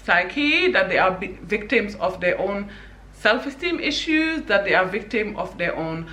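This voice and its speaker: A woman's voice: medium pitch, lively intonation, unhurried, sharp tone, echoey room. A woman from Senegal giving her opinions in a casual vlog, talking emphatically with many "you know"s. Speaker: vlogger.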